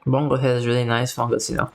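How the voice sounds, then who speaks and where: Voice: medium pitch, little pitch movement, fast talker, a little hiss or hum. A streamer giving casual, chatty commentary while playing a game. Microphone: new microphone.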